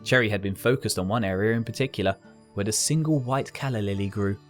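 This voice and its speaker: A man reading a scripted fan fiction story as its narrator, with soft guitar music behind him. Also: fairly low pitch, fast.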